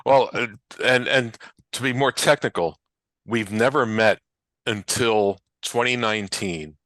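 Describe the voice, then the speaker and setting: An older man reminiscing casually as an interview guest, often halting and restarting mid-thought. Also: mid-range voice, a bit bright, dry recording. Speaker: interview guest, old-time radio researcher.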